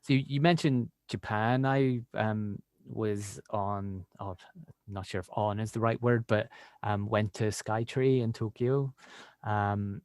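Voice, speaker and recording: A man with a fairly low voice interviews a guest in a casual, conversational style. His questions are loosely worded and a little hesitant. The sound is dry, with very little room in it. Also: steady tone, slow pace, darkish tone, no echo. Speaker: podcast interviewer.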